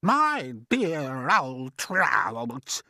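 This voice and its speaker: A storybook narrator voicing a vain, bossy, fat aunt in a grand, gushing, drawn-out way. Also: mid-range voice, very animated, sharp tone, no room sound, clean studio sound, pitched above the performer's own voice, heavily acted. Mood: self-adoring.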